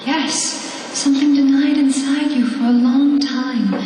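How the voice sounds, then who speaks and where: A woman playing a mysterious, seductive captive locked behind a door, with a breathy, coaxing voice. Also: sits high, fairly even intonation, measured pace, dark tone. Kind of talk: comedy radio play acting. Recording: distant, reverberant hall, noisy.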